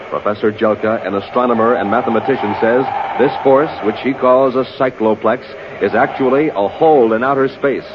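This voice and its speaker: A man announcing and narrating in punchy, emphatic 1950s radio style, like a showman pitching to listeners. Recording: dull, noisy vintage radio recording.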